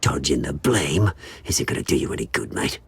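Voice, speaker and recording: A man's voice: rumbling low, animated, normal speed, gravelly, full character voice. An actor playing a smug, taunting British villain businessman in a video game, with a mocking, theatrical manner. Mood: menacing.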